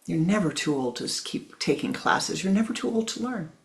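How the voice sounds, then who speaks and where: Gravelly, gentle spiritual teacher. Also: medium pitch, lively intonation, a little fast. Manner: tender, repetitive, addresses listeners as "my love".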